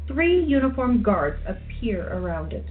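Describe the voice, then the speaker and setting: Lady narrating a radio drama from a script, mid pitch, small tinny audio. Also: big pitch swings, a little slow. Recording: tinny phone-like line.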